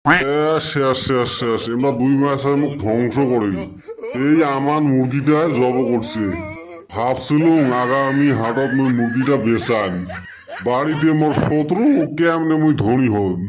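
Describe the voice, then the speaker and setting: Stingy, sarcastic village husband played by a man, rural northern Bengali dialect, mid-pitched grumbler for a comedy cartoon, crowd noise behind. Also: unhurried, natural voice. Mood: dismayed. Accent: rural northern Bengali dialect of the Assam-Bengal border. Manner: sarcastic, muttering complaints.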